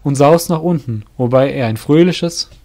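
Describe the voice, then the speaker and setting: A man's voice: mid-range voice, normal speed, a little mellow. A man reading scripted fantasy narration for an audio drama. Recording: music under the voice. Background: music.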